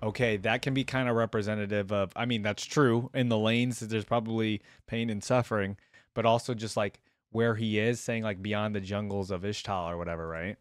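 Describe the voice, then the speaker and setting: A man's voice: on the low side, steady tone, moderate pace. A man in his twenties giving casual, musing reaction commentary into a close desk microphone. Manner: musing, short interjections, echoes what he hears. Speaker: reaction video creator.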